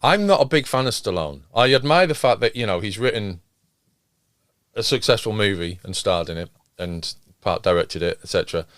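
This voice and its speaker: Co-host: man, almost fifty, lowish voice, hesitant, understated, dry sound. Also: expressive, moderate pace, fairly bright tone, clear, resonant tone, dry recording. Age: late 40s, nearly 50. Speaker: podcast co-host. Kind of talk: casual discussion of television.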